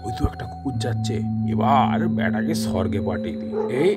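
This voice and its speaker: A man's voice: on the low side, animated, a little fast, loud music behind the voice, lightly acted. A man voicing a grumpy, scheming male biryani seller in a Bengali cartoon, grumbling and sly.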